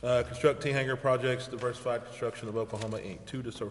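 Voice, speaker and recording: A man's voice: medium pitch, fairly even intonation, quick pace. A man chairing a city council meeting, formally reading out agenda items and procedure. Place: large indoor council chamber. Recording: echoey hall, audible reverb, faint noise.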